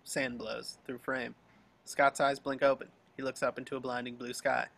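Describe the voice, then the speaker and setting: A man's voice: mid-range voice, little pitch movement, moderate pace, gravelly, fairly bright tone. A man reading scripted action descriptions as narrator of a table read over a video call.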